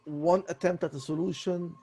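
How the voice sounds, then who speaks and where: A raspy man speaks in a halting, apologetic way, doubling back on his words again and again. His voice is dark and gravelly, sitting a little higher than most men's, with a husky edge throughout. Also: steady tone. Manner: apologetic and halting, many restarts. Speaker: voice student. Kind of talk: voice lesson conversation.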